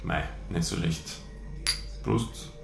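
Taciturn male farmer, Bavarian accent, low voice, evasive, terse, radio drama, roomy sound. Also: drawn-out words, gravelly, exaggerated voice. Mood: guarded. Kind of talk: radio crime drama acting. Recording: roomy radio drama scene, music underneath.

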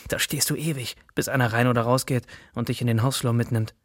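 A man's voice: mid-range voice, fairly even intonation, quick pace, no room sound, lightly acted. An actor playing a sardonic, streetwise bike courier narrating his job in a radio drama. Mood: wry. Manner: colloquial, sardonic. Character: wry, streetwise male bike courier telling his story. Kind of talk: dramatic first-person narration.

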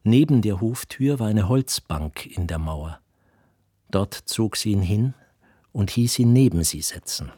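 An actor playing the composed narrator of a literary radio play, reading in a measured way. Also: male voice, lowish voice, lively intonation, dark tone, breathy edge, dry recording, studio quality. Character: composed literary narrator of a young man's memories.

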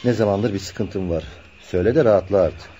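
A sober young friend in a radio play, frank and admonishing. His voice is fairly low, mellow and husky. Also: brisk, dull audio. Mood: concerned. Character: frank, sober young male childhood friend giving advice. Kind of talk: Turkish radio drama acting.

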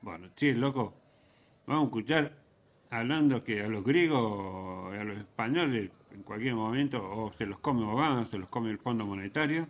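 A man with an Argentine accent and a lowish voice delivers an informal radio monologue. He is vehement and irreverent, leaning on slang, stopping and repeating himself as he argues. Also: moderate pace. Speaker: radio host.

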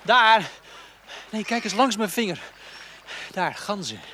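Bright, playful young man. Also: sits high, animated, takes it slowly, a little hiss or hum, exaggerated voice. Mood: easygoing. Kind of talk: radio play acting.

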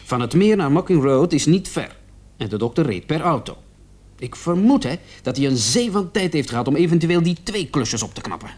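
An actor playing an eager police subordinate in a radio play, speaking in an earnest, insistent manner. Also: male voice, on the high side, sing-song, a little fast, darkish tone. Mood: speculating.

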